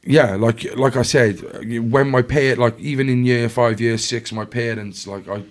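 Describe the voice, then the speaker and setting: A young South Welsh man talks through his past as a podcast guest, easygoing and conversational. He rambles, stacks fillers and restarts his sentences, and his voice sits in an ordinary middle pitch. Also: steady tone, normal speed. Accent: South Wales. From Wales. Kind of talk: casual storytelling chat.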